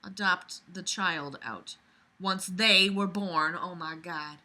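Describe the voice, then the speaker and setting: A woman's voice: lowish voice, takes it slowly, bright, forceful tone. A young adult woman reading fiction aloud with weary, exasperated, sarcastic commentary and plenty of swearing. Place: small bedroom, lying on a bed.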